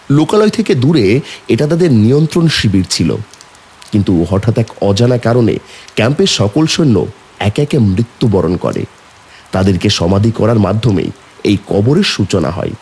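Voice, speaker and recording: A man's voice: medium pitch, darkish tone, husky. A man narrating a horror story in the first person as a somber young man, brooding and suspenseful. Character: somber young man recounting an eerie burial.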